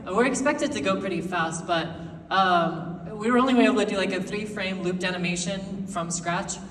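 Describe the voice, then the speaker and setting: A woman animator speaking as a guest on a convention stage in a lively way. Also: mid-range voice, moderate pace, slight background noise. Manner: lively, not monotone. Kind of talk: stage panel talk. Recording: echoey hall, distant mic.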